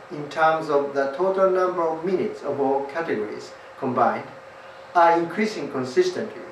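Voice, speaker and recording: A middle-aged man, a native Japanese speaker with a Japanese accent, giving a formal, measured scripted lecture as an English test tutor. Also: fairly high pitch, slight background noise. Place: small indoor studio. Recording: roomy, slightly distant sound.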